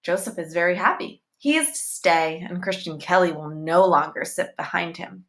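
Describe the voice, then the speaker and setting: A woman reading a children's novel aloud in deliberate, simple phrases, as if telling it to young listeners. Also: fairly low pitch. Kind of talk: read-aloud storytelling with short asides to listeners.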